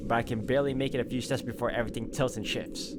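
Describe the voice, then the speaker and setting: A man's voice: medium pitch, fairly even intonation, very fast, heavy background noise. A man reading a visual novel aloud as a playthrough, dropping in wry casual asides. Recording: music behind the voice.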